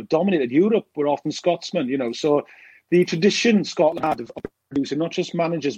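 A man's voice: mid-range voice, warm tone, slightly rough, no echo, dull audio. A Welsh man in his forties, a documentary director, talking casually in a podcast interview and rambling with many fillers and restarts. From Wales. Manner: fast, rambling storyteller with lots of fillers and restarts.

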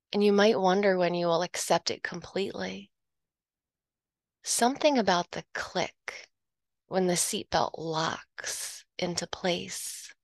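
A woman leading a guided hypnosis meditation, speaking in a slow, soothing, hypnotic way and dropping softly into whispers. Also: on the low side, vocal fry, no room sound, clean studio sound.

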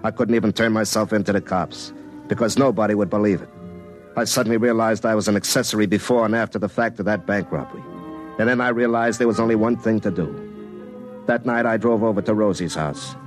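An actor as an excitable, hapless young telephone lineman. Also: fairly low pitch, fairly quick, lightly acted. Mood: troubled, rueful. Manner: folksy, excitable, self-dramatizing. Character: hapless, earnest young telephone lineman telling his own story. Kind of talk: first-person comic radio drama narration and dialogue. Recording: classic radio show with music underneath.

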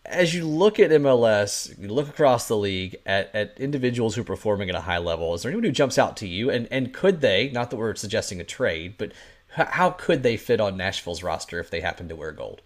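A man hosting a soccer podcast, moving the talk forward from one result to the next. His voice sits somewhat low, and he delivers his recaps in a steady, matter-of-fact way. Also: fairly quick. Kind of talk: sports talk, running the rundown.

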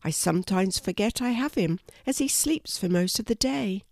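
A calm, friendly mother koala chatting easily with a friend, as a woman voices her in a storybook. The voice is dry and close with a lively, rising and falling pitch. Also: normal speed, vocal fry, no echo.

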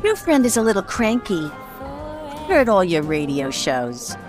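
An actress playing a sassy, dangerous nightclub hostess in a noir radio drama, flirtatious and self-assured. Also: female voice, medium pitch, huge pitch swings, unhurried, music in the background. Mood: teasing, dismissive.

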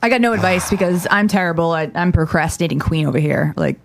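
A woman's voice: on the low side, fast, slight background noise. A young woman co-hosting a casual talk show, blunt and playful, cutting in often. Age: young adult. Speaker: co-host. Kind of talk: casual chat.

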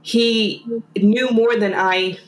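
A woman's voice: medium pitch, fairly even intonation, very slow, roomy sound, poor audio. A woman from Germany, a guest in a casual conversation, who talks in a chatty, rambling way full of fillers.

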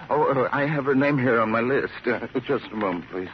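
A man's voice: mid-range voice, brisk, natural voice. A self-important, dithering club man as a male actor plays him in a vintage radio mystery. He talks in flustered, pompous repetitions, on a dull recording with faint noise. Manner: flustered, hesitant, repeats himself pompously. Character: fussy, self-important, dithering lodge club entertainment chairman. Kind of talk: 1940s-50s radio comic character acting.

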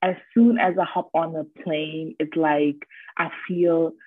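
A woman's voice: deep voice, fairly even intonation, unhurried, husky, no echo. Woman in her twenties on a tinny line. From Germany. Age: 20s. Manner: open, frequent ums, laughs easily. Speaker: podcast guest. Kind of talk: casual interview chat.

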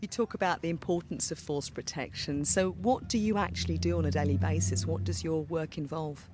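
A presenter introducing a military program and interviewing a soldier outdoors, speaking in a clear, measured way. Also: mid-range voice, background noise.

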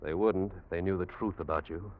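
A man's voice: low voice, fairly even intonation, fairly quick, lightly acted. A man playing an uneasy, resentful young ex-convict, terse and tense, in an old radio drama. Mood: angry, defiant. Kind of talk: 1940s radio drama acting. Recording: dull vintage radio recording.

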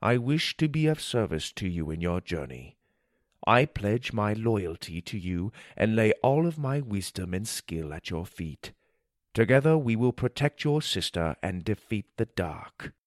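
A soft-spoken, ancient enchanted sword, voiced by a man that speaks slow and measured, calm and wise. The voice sits low and lively in its lilt, close and dry with no room sound, like a storybook being read aloud. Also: no echo. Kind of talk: storybook character voice.

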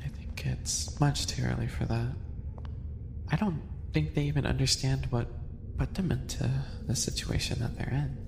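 A man playing a sly, sadistic animal-boy maid in a roleplay ASMR audio, hushed, teasing and condescending. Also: medium pitch, fairly even intonation, moderate pace, lightly acted. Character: sly, smug, sadistic male animal-person maid yandere. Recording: long reverb, clearly noisy ambience.

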